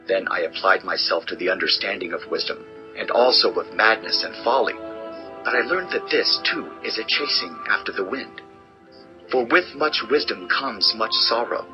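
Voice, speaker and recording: A man's voice: lowish voice, lively intonation, moderate pace, lo-fi sound, lightly acted. An actor playing a grave, world-worn teacher-king in a dramatized audio Bible, declaiming solemnly with theatrical emphasis. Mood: grave, sorrowful. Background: background music.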